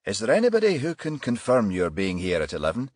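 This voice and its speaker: A composed male detective inspector, acted by an audiobook narrator. He is courteous and businesslike, with lively pitch movement in a close, dry recording. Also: no room sound. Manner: measured, businesslike.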